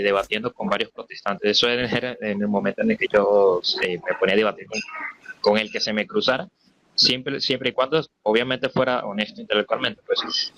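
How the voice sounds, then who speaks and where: A man's voice: on the low side, a bit bright, muffled recording. A man taking part in a spontaneous religious discussion, searching hesitantly for precise wording as he reasons. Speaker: debate participant.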